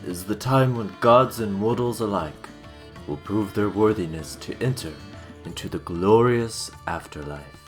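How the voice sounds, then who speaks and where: A man acts a broad-shouldered temple vizier in his thirties for a fantasy audio drama. His voice is low and solemn, with the calm certainty of deep faith, over faint music. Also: unhurried, close to an everyday voice.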